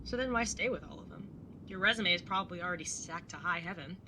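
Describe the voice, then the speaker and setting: A woman's voice: on the low side, animated, fast talker, noisy background, close to an everyday voice. A woman playing a laid-back, blunt older sister in her young adulthood, casual and teasing, in a naturalistic audio drama. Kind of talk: naturalistic audio drama dialogue and first-person narration.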